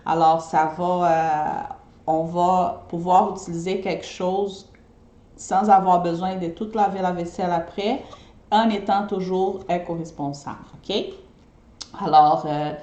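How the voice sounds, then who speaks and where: A woman's voice: lowish voice, fairly even intonation, a little slow, mellow, roomy sound. A woman from Brazil whose first language is Portuguese, speaking French with a mixed Brazilian and Québécois accent. She chats casually to her viewers in a live video, often checking in with them by asking "ok?". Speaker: eco-friendly party decorator.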